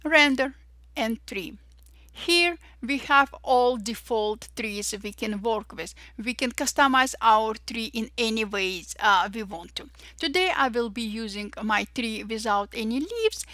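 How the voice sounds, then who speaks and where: A young woman with an Armenian-Russian accent, cheerfully walking through software steps one by one and saying "voila" after each result. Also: on the high side, no echo, studio quality. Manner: cheerful, says "voila" and "perfect" often, slow deliberate steps. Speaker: Photoshop tutorial instructor. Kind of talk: step-by-step software tutorial narration.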